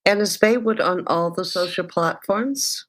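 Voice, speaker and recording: Low-pitched woman hosting an interview. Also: a little slow, a bit bright. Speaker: podcast host interviewer. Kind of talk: interview chat.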